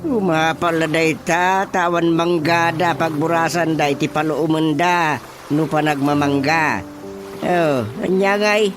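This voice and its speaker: An actor playing a stubborn, excitable middle-aged village chairman and father in an Ilocano radio comedy, with a Filipino accent and exclamatory, drawn-out reactions. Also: on the high side, over music. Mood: stubborn, animated.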